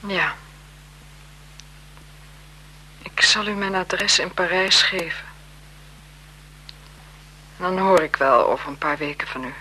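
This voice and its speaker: An actress playing an anxious, guilt-ridden French marquise in a radio drama, speaking formally in halting phrases. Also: female voice, fairly low pitch, pushed and bright, slightly rough, heavily acted, low-quality recording. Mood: tense, resigned. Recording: 1970s radio recording with noise.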